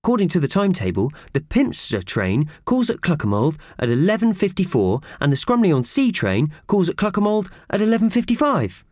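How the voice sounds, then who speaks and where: A man voicing a small, eager talking mouse in a children's storybook, excitable and earnest as it chatters. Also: sits high, a little fast, few pauses, no echo, clean studio sound, pitched above the performer's own voice. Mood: eager, explaining.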